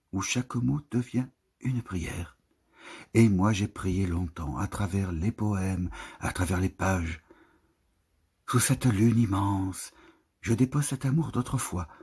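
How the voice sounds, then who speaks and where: A man recites literary poetry in a very husky, breath-laden voice. His pitch sits a little low and his delivery is slow. He lingers over each phrase with a solemn, lyrical manner. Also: darkish tone. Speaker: poetry reader.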